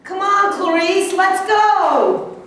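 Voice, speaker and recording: A woman acting an angry, fearful elderly grandmother and patient in a staged reading, slow and dramatic in her pleading and scolding. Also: very high-pitched, animated, fairly bright tone, full character voice. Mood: impatient, demanding. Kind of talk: amateur staged reading of a play. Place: live staged reading in a reverberant room. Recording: very echoey room, distant mic, faint noise.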